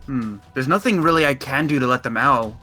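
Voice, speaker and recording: A man's voice: medium pitch, brisk, sharp tone, soft background music. A man chatting casually as a player in a tabletop role-playing game.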